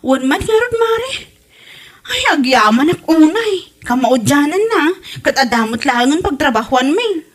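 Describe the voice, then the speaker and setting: A woman's voice: high voice, big pitch swings, unhurried. A woman with an Ilocano Filipino accent acting an eager, chatty village wife looking for work in a comedy audio drama. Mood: grateful.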